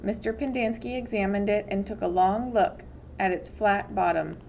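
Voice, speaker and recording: A woman's voice: medium pitch, steady tone, moderate pace, gravelly, a little mellow, muffled recording, slight background noise. A woman reads a children's novel aloud as scripted storybook narration. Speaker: teacher reading aloud.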